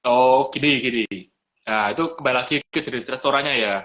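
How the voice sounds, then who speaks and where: Restaurant owner guy, bright forceful voice, laid back, kinda dull lo-fi stream audio. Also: medium pitch, brisk. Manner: easygoing, mixes in English business words. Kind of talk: casual interview chat.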